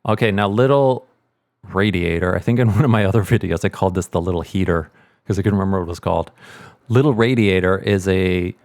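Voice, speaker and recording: A man's voice: sits low, fast talker, darkish tone, no echo, studio quality. A middle-aged man hosting a casual audio tutorial, explaining things as a sound mixer would. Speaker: host, sound editor and mixer.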